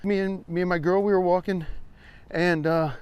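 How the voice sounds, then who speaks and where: A man's voice: high-pitched, fairly even intonation, moderate pace, smooth, no echo, muffled recording. An American man, around his forties, chatting casually and irreverently as he hosts a rambling comedic walking vlog, swearing freely. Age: 40s. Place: outdoors, walking on a trail.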